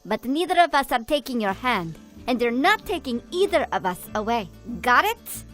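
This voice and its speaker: Proud underdog singer heroine played by a woman, animated film, expressive higher-pitched delivery, dramatic. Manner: dramatic, sprinkled with Spanish. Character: feisty, proud, self-doubting woman singer, defiant underdog. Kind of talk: animated cartoon voice acting.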